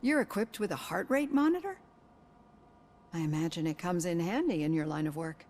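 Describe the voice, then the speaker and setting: A therapist in a game cutscene, lowish voice, measured, polite but firm. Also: female voice, very animated, darkish tone. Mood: calm, tactful. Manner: measured, tactful, formal. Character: composed, professional female psychologist, polite but firm. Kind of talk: video game cutscene acting.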